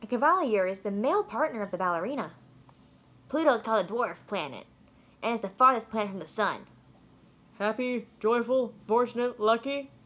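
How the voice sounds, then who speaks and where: Casting: female storybook reader, playful and expressive, widely varied intonation. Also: fairly high pitch, brisk. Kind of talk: expressive children's read-aloud narration.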